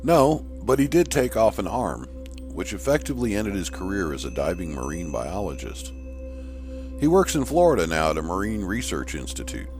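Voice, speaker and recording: Man playing an earnest, animated male shark researcher. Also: sits low, moderate pace, over music. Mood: matter-of-fact.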